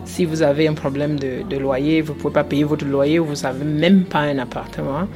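A woman's voice: low voice, steady tone, moderate pace, over music. A woman nurse at a community health centre, explaining health advice in an interview, with hesitant fillers. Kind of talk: street interview answers. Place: indoor open-house event.

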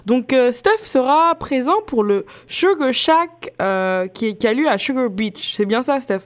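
A woman with a moderately high-pitched voice hosts a radio interview. She speaks conversationally as she introduces and questions her guest. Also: lively intonation, measured pace.

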